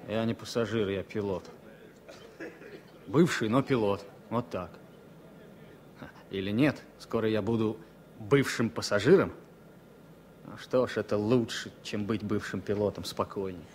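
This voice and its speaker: An actor playing a shell-shocked former pilot, wry, grumbling and resigned, halting and ironic in a radio drama. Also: male voice, fairly low pitch, a little mellow, some chatter behind, slight background noise, natural voice. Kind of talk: 1970 Soviet radio drama acting.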